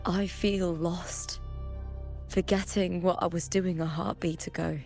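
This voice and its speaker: A woman voicing an earnest, honorable young female gladiator in a video game, sincere and hesitant as she speaks gravely. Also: on the low side, steady tone, unhurried, a little mellow, faint music behind. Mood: troubled, earnest.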